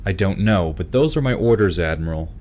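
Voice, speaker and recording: A man's voice: deep voice, animated, fairly quick, highs cut off, a little hiss or hum. A man playing a curt, defiant starship captain in an audio drama, clipped and insistent.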